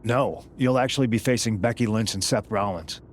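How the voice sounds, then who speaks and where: A man's voice: on the low side, fairly quick, a little hiss or hum, natural voice. A man performing a shrewd, supportive wrestling manager in scripted game dialogue, assured and matter-of-fact. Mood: businesslike.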